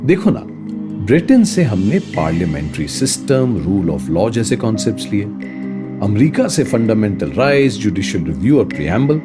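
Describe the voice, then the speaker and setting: A man's voice: lowish voice, very animated, moderate pace, loud music behind the voice. A man playing a thoughtful political science professor in a radio play, explaining things expressively and emphatically. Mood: explanatory, enthusiastic. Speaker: political science teacher character. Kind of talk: radio play dialogue, Hindi mixed with English.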